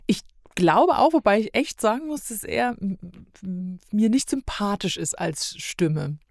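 Female podcast guest, casual conversational review style, slightly low voice, dry recording. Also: animated, unhurried, vocal fry, no echo. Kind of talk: casual chat, radio play review.

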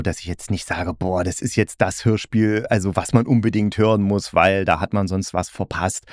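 A man's voice: sits low, darkish tone, no echo, studio quality. A man talking casually and in a rambling, colloquial way as a podcast host giving a review. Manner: colloquial, rambling, hedged opinions. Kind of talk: casual solo review talk.

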